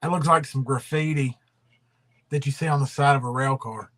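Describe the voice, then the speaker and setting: A man's voice: mid-range voice, fairly even intonation, moderate pace, fairly bright tone. A man hosting a casual livestream, rambling and drawling, his voice trailing off into mumbles. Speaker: livestream host.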